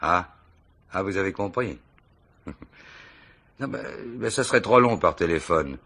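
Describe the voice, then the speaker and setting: An actor playing a wily, self-assured police commissioner in a radio mystery play, talking in a colloquial, teasing way. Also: male voice, deep voice, expressive, unhurried, fairly bright tone, exaggerated voice. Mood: smooth, bluffing. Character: wily, jovial, bluffing male police commissioner. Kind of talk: French radio mystery drama acting.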